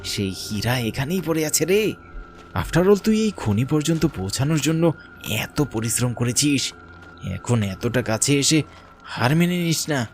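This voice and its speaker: A man's voice: mid-range voice, sing-song, a little slow. Male actor as a treasure hunter's own thoughts, coaxing and insistent; horror cartoon, light music. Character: urging inner voice of a determined treasure-seeking man. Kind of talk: horror cartoon inner monologue.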